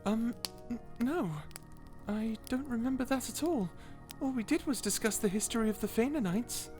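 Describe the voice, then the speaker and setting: A woman voicing a kind, dutiful guardian angel in a character audio roleplay, gentle, formal and measured. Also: fairly high pitch, over music, natural voice. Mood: innocent, puzzled.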